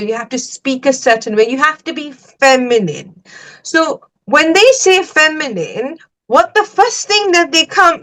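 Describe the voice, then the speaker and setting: Sri lankan-raised woman hosting a podcast, slightly high voice, lots of likes and y'alls. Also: fairly bright tone, low-quality recording. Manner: chatty, lots of "like" and "y'all", emphatic asides. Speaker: podcast host. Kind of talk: casual podcast chat.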